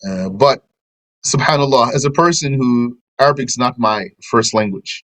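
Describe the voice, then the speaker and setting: A man's voice: medium pitch, normal speed, a bit bright, low-quality recording. Guy teaching a religious class, normal mid voice, reads a bit then explains, drops in arabic words. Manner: explanatory, reads a passage then comments, mixes in Arabic terms. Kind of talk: religious lesson, commenting on a translated text.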